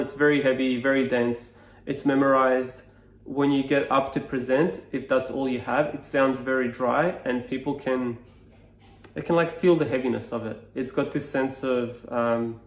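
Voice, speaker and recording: Serbian man, flat roomy voice. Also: medium pitch, normal speed. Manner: reflective, loose, many fillers and restarts. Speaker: speaking coach. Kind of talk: casual explainer to camera.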